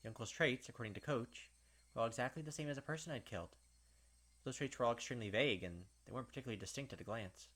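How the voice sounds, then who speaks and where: A man hosting a gaming video, reading a visual novel aloud with animated, blunt casual commentary. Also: mid-range voice, racing.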